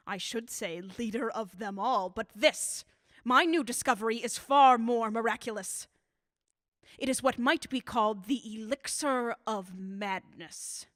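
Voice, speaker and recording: A woman playing an embittered elderly scientist in a radio drama, measured, calm and dryly sardonic. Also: mid-range voice, no echo, studio quality, natural voice. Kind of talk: 1930s-style radio drama acting.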